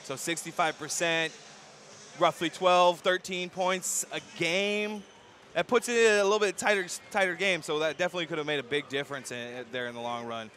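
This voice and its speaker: High, bright-voiced American man hosting basketball commentary. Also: steady tone, moderate pace. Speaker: sports broadcast host and commentator. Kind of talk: live basketball pre-game commentary. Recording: noisy gym ambience behind the voice.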